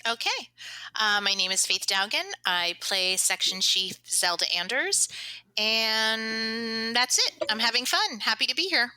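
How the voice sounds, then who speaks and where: A woman's voice: on the high side, expressive, a little slow, sharp tone, clear, resonant tone, dry recording. A woman who does voice acting, chatting casually as an interview guest. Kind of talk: casual chat.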